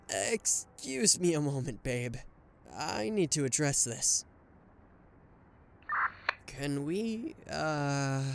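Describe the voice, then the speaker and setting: A man's voice: on the high side, slight background noise. A man voicing a smug male anti-hero villain who gloats and teases a captured hero in an ASMR roleplay, with a mocking, flirtatious drawl. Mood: flustered. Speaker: voice actor.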